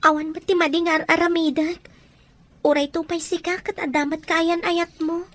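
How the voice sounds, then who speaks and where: A woman's voice: very high voice, level tone, moderate pace. A woman playing a sweet, long-suffering young student in an audio drama, speaking politely and plaintively. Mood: puzzled.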